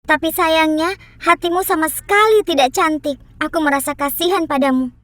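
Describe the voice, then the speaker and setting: A brave young girl from a cartoon fairy tale, speaks in a very high voice. She is earnest and tender-hearted, with expressive storybook delivery. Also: moderate pace, dry recording. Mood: gently firm.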